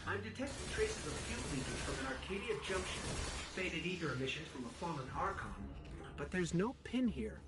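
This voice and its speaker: A man voicing a small, chatty robot companion in a video game, an eager and wry helpful guide with an expressive, conversational delivery. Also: a little high, brisk. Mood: alert, urgent. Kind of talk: video game voice acting, mission dialogue. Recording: game music under the voice. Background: game music.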